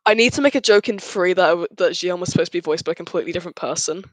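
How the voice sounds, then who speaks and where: A man's voice: high voice, very fast, a bit bright, no echo. A man with a British accent chatting casually on a group podcast. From Britain. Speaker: podcast member.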